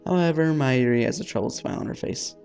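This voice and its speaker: A man's voice: medium pitch, quick pace, gravelly, darkish tone, faint music behind. A man hosting a let's-play, reading a visual novel aloud with casual asides and the odd stumble over words.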